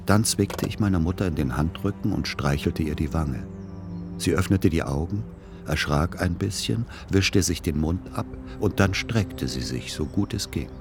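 A middle-aged man playing a patient, weary son, narrating and talking in a measured, understated way in a radio play. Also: deep voice, expressive, over music. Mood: weary, matter-of-fact. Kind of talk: first-person radio play narration and dialogue. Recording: clear vehicle noise. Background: vehicle ambience.

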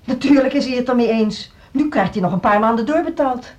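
An actress playing an imperious, defensive music school director in a Dutch radio drama, speaking in a brisk, formal, dismissive way. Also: female voice, a little high, darkish tone, muffled recording, close to an everyday voice.

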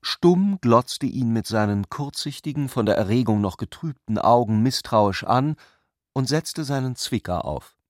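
A man's voice: mid-range voice, expressive, measured pace, no room sound, studio quality. A man reading literary prose and its dialogue as the narrator of a radio play.

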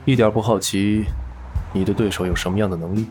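A man playing a smooth, menacing casino boss in an audio drama, suave, teasing and commanding. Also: fairly low pitch, steady tone, brisk, music in the background, lightly acted. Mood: amused probing. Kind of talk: Mandarin audio drama acting.

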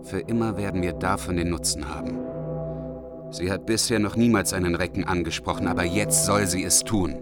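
Princely counsellor male actor, radio play: low-pitched, measured, persuasive, shrewd, music behind. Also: fairly even intonation, close to an everyday voice. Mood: persuasive, calculating. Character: Gernot, shrewd princely brother of the Burgundian king. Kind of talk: German radio play acting.

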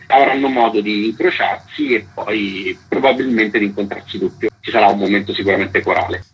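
A man explaining a live role-play game as a guest in a radio interview, calm and measured. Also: mid-range voice, slightly rough. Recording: dull radio broadcast.

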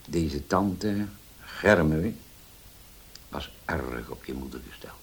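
An actor in a radio play as a grave, troubled widowed father, speaking haltingly and solemnly with long pauses. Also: very deep voice, animated, slightly rough, heavily acted. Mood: grave, recounting. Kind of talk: Dutch radio play acting. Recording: hissy old radio play recording.

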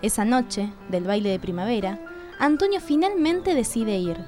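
A woman's voice: medium pitch, expressive, normal speed, warm tone, faint music behind. A woman with an Argentine accent reading scripted narration for a radio drama.

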